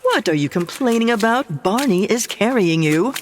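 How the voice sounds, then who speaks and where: A woman's voice: medium pitch, animated, moderate pace, big-room sound, slight background noise, close to an everyday voice. An actress playing a sly female villain's accomplice with a cool, sardonic manner.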